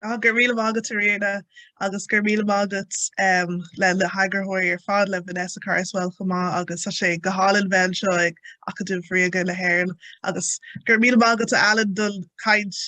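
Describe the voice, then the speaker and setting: Young Irish woman, bright, dry sound, casual bilingual talk. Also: mid-range voice, fairly even intonation, brisk, no echo. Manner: casual and upbeat, with many fillers. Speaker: panel speaker. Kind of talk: talk switching between Irish and English.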